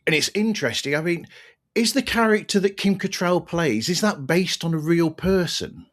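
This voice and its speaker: A man's voice: on the high side, animated, moderate pace, a bit bright. A man hosting a podcast, introducing and interviewing guests in a conversational way.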